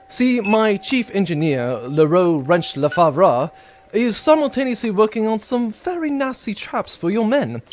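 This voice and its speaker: A wisecracking adventurer captain played by a man, breezy and cocky. His voice is animated and on the high side, taunting in audio-drama style, with music underneath. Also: slight background noise.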